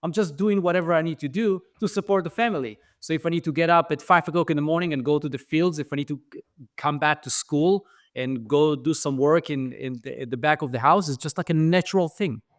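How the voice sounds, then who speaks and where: A man's voice: on the high side, brisk, no room sound, studio quality. A middle-aged man with an Israeli accent, a podcast guest telling his story with many fillers and restarts. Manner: thoughtful, frequent fillers and restarts. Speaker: guest, franchise founder. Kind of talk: podcast interview.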